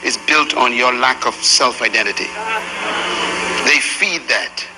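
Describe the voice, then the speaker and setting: A man's voice: mid-range voice, unhurried, background noise. A man preaching a sermon to a room full of people, emphatic and rhythmic. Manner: emphatic, preaching to a live crowd. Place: large room with an audience.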